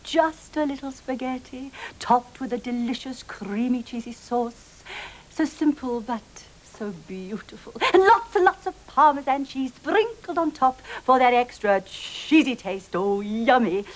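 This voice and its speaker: Genteel, gushing, high-voiced lady. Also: animated, measured pace, slight background noise, low-quality recording. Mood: dreamy relish. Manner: affected, twee, gushing, condescending. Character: genteel, dotty, food-loving lady diner. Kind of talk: children's comedy show acting.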